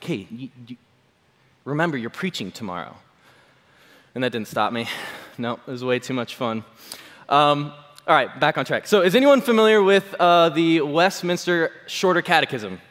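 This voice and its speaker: A man, a pastor, giving a chatty Sunday sermon with a slightly hoarse voice and many fillers. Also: fairly high pitch, animated, normal speed, a bit bright. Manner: chatty, self-deprecating, full of fillers. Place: church hall. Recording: long hall reverb.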